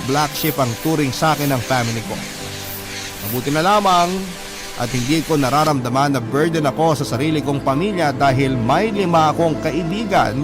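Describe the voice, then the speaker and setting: A man narrating a letter in an earnest, confessional tone with polite, formal phrasing. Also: mid-range voice. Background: loud music.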